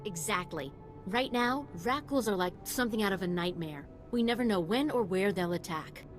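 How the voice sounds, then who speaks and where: A woman's voice: medium pitch, lively intonation, normal speed, soft background music, close to an everyday voice. A woman playing a passionate, combative researcher in a video game, earnest and emphatic. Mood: eager.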